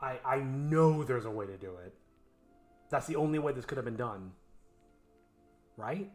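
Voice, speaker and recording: Male animator, mid-pitched, casual work-along commentary, talking to himself, very varied intonation, self-questioning. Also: expressive, moderate pace, darkish tone, soft background music. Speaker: animator editing his own show.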